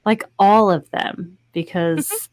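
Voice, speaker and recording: A woman's voice: mid-range voice, expressive, takes it slowly, a little hiss or hum. A young woman, a voice actor, introducing herself in hesitant, giggly casual chat. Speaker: voice actor, panel guest. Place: indoor room.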